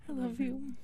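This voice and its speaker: A woman voicing an affectionate, playful female alien lover in an intimate audio roleplay, speaking softly and breathily with a teasing manner. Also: high-pitched, a little hiss or hum. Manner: soft, breathy, teasing whispering.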